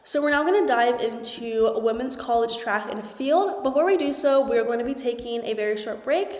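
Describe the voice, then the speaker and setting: A woman's voice: a little high, moderate pace, a little mellow. A young woman hosting a sports podcast, stuffy from a cold, chatting casually with lots of "like" and "um". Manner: chatty, frequent "like" and "um" fillers. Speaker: podcast host. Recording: echoey room with long reverb.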